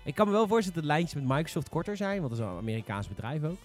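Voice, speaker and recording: A man's voice: a little high, big pitch swings, very fast, soft background music. A man in a casual, opinionated gaming discussion, emphatic, repeating phrases and swearing. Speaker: gaming podcast panelist.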